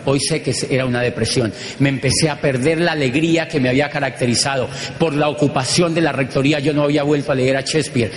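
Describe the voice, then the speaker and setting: A middle-aged Colombian man with a Colombian accent, giving an animated storytelling talk to an audience, using rhythmic repetition. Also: medium pitch. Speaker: motivational speaker. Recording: echoey hall with long reverb.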